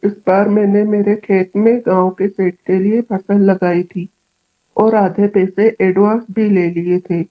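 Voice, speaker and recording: A woman's voice: medium pitch, phone-quality sound, close to an everyday voice. A woman voicing a poor, honest male farmer in a cartoon village story, speaking earnestly. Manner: earnest, pleading. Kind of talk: Hindi cartoon story acting.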